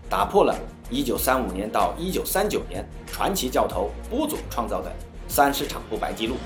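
A man's voice: mid-range voice, echoey room. A man hosting a football show, delivering a scripted match recap in a commentary style. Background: music.

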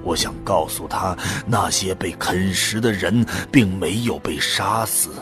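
A man's voice: low voice, unhurried, fairly bright tone. A man acting as the resolute, wistful hero and narrator of a science fiction audio drama. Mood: fading, earnest. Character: male first-person hero, resolute, wistful, near death. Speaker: narrator and lead actor. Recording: voice under prominent background music. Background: music.

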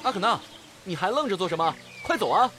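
An actor playing a cheeky, girl-crazy effeminate young male adventurer in an audio drama, excitable and exaggerated. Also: medium pitch, quick pace, noisy background, natural voice. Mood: urging.

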